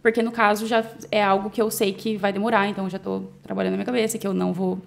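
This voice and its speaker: A woman's voice: mid-range voice, flat delivery, racing, creaky. A woman guest, a doctor, chatting casually in an interview, hesitant with many restarts.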